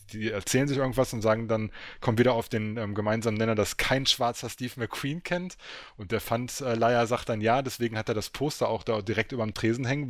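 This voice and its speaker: A man's voice: medium pitch, dry recording, studio quality. A man talking in a casual, colloquial and slangy way, like a podcast co-host recommending something.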